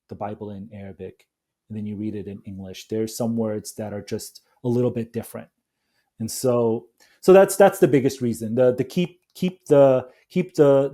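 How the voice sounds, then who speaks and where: A man's voice: fairly low pitch, warm tone. A man speaking casually as an interview guest, feeling his way through sentences and repeating words. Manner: halting, repeats words and agrees readily. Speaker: interview guest, coffee company founder.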